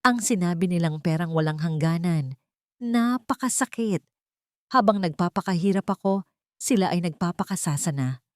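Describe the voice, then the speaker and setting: Lowish-voiced woman narrating a drama. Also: animated, moderate pace, dry recording, clean studio sound. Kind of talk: scripted first-person narration, sentimental melodrama.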